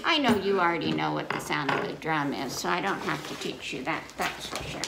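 Creaky older woman at children's story time. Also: low voice. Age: 60s or older. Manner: slow, simple, teacherly explanations with repetition. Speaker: library story time reader. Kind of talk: children's story time, reading aloud and explaining to little listeners. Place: indoor living room in front of a fireplace. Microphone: camera a few feet away. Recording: echoey room, noticeable noise, clicks.

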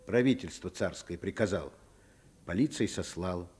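A man's voice: sits low, moderate pace, a little mellow, breathy edge. An actor playing a loving, principled, wry educated father in a radio play. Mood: earnest. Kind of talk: Soviet radio play acting.

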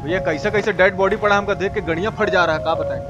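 A high-pitched, folksy village uncle played by a male streamer with a Bihari accent. He chats and exclaims in earthy slang as a live game commentator, excitable and jokey, over background music. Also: fast talker, muffled recording, natural voice. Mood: chatty. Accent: eastern Hindi, Bihari. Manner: colloquial, exclaiming, crude slang. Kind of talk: live horror game commentary with chat replies.

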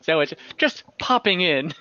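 A man narrating an online tabletop game as game master, casual, halting and lightly amused, improvising as he goes. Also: on the high side, lively intonation, bright, forceful tone, no room sound. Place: at home indoors on a group video call. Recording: slightly dull video-call audio.

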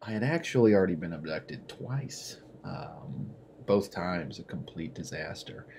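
A man playing a weary client giving a soft, hesitant, confessional ASMR testimonial in a small room. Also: low-pitched, expressive, a little slow, gravelly, a little hiss or hum, heavily acted. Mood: rueful.

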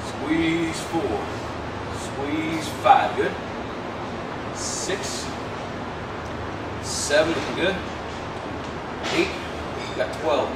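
A man's voice: a little high, very slow, long pauses. A middle-aged man working as a personal trainer, coaching exercise in short, clipped cues and counts. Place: large indoor weights gym. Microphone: far camera. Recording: distant mic, echoey, loud gym noise.

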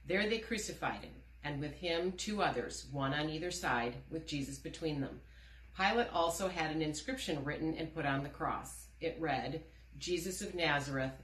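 Low-voiced woman reading scripture, echoey room. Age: middle-aged. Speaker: church member reading scripture. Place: indoor room with wooden door. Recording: roomy indoor echo.

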